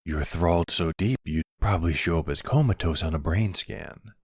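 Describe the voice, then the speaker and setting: A man's voice: deep voice, normal speed, husky, no room sound. A man playing a flirty, sardonic male vampire in an ASMR roleplay drama, teasing his rival with smug, dry sarcasm. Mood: mocking, sultry.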